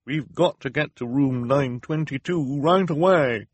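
A man's voice: on the high side, a little slow, dry recording, voice pushed up. A man voicing an anxious young boy in disguise in a storybook, speaking urgently and insistently.

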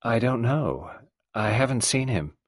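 A man doing a gentle, expressionless young wife. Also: mid-range voice, wildly swooping pitch, unhurried, slightly rough, no room sound, pitched above the performer's own voice, heavily acted. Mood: restrained.

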